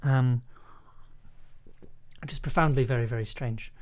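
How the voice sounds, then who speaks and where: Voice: mid-range voice, fairly even intonation, measured pace, a little mellow. A streamer editing audio live, talking casually and hesitantly, half to themselves. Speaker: streamer and audio drama editor.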